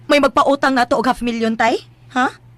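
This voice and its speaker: An actress playing a feisty, self-sacrificing young daughter in a Filipino radio drama, resolute and earnest. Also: female voice, high-pitched, steady tone, a little slow, fairly bright tone, dry recording. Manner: resolute, earnest, a little sassy. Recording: music bed behind.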